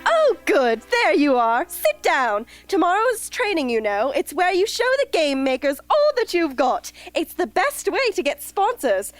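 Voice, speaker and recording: A woman's voice: extremely high pitch, sing-song, measured pace, hardly any pauses, faint music behind, exaggerated voice. A woman playing a bubbly, fussy, upbeat escort in an amateur radio drama, with a chirpy, theatrical delivery. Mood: eager.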